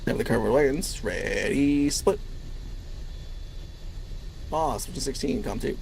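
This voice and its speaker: A man leading a flight formation, giving clipped radio commands in slow, drawn-out calls. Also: mid-range voice, creaky, a little mellow, slight background noise, low-quality recording.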